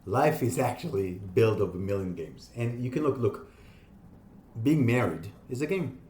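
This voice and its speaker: A man in his fifties from Israel, a podcast guest explaining his ideas in an animated, conversational way. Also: on the low side. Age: 50s. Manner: animated, explaining with quick asides and laughs. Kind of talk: casual interview talk. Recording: echoey room.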